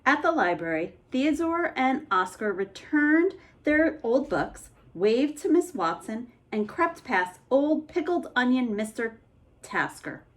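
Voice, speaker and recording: A woman reading a children's picture book aloud as an expressive bedtime story. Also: high voice, slow.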